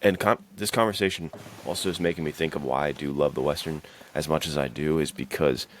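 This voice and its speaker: A man's voice: very deep voice, fairly even intonation, fairly quick, slight background noise. A man hosting a podcast, chatting casually in an earnest, reflective and informal manner. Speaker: podcast host, adventure fiction author. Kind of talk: casual chat about writing and self-discipline.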